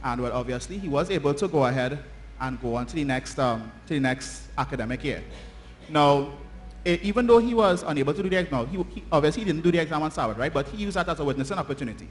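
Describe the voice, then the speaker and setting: A man's voice: on the high side, animated, fast talker. A Trinidadian man telling a story informally to a church congregation, conversational and checking in with his listeners using short tag questions. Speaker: church children's story teller. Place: church, speaking to children and congregation. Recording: noisy live church recording, often distant.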